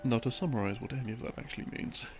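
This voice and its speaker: A man telling lore as a soft, rambling sleep-aid story, breathy and often sinking into a murmur, in halting short phrases. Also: mid-range voice. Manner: halting, broken into short phrases with long pauses. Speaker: audio roleplay narrator, playing a boyfriend explaining game lore. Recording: music behind the voice. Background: soft background music.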